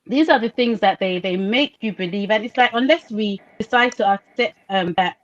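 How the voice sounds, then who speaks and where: A woman's voice: mid-range voice, moderate pace. A woman with a Nigerian accent talks with passion and leans on rhetorical questions to drive each point home. Her voice sits in an ordinary middle range. The recording is a bit dull and lo-fi. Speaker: panelist. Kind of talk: casual discussion. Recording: dull lo-fi sound.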